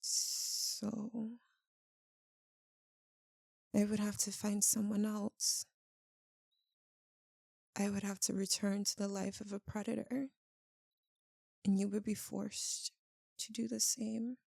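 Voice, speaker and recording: Girl doing a sad vampire girlfriend for asmr, tender n pleading, soft mellow creaky voice, super close dry sound. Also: medium pitch, little pitch movement, dry recording, exaggerated voice. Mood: grave, resigned. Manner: halting, intimate, pleading delivery. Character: anguished vampire lover, tender, guilt-ridden, female. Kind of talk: ASMR roleplay.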